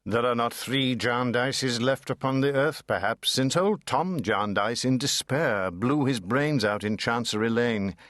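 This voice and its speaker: A man's voice: medium pitch, unhurried, hardly any pauses, a bit bright, dry recording, studio quality. A man reading classic literature dramatically, theatrical and precise. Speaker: audiobook narrator. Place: dry studio.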